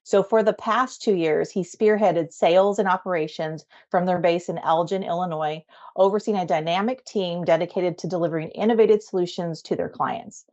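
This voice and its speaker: A woman's voice: fairly low pitch, moderate pace, a little mellow, dull audio. A woman hosting a podcast, reading out a guest introduction and then chatting casually. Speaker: podcast host.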